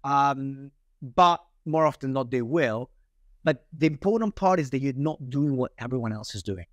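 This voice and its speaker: A man with an Italian accent, a sales trainer talking casually as a podcast guest, animated and acting out the lines he uses on calls. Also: medium pitch, moderate pace, no echo. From Italy. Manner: animated, many restarts, acts out call lines.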